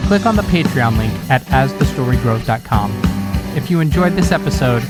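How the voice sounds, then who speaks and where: A man's voice: mid-range voice, expressive, brisk. A man as podcast host, reading a scripted outro announcement. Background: loud rock music with guitar and drums.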